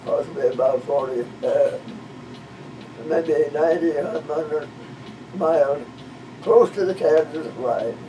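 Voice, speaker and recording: A man over one hundred years old, originally from western Missouri, talking with a visitor in a slow, halting way and searching for names. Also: on the high side, warm tone. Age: 100s. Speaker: interviewee, retired minister. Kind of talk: casual conversation. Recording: distant mic with background noise.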